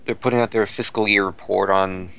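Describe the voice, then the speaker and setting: Male American co-host with a fairly low voice, informal conversational delivery. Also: steady tone, a little fast, gravelly, bright, forceful tone, clear, resonant tone. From United States. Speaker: podcast co-host. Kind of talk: casual chat.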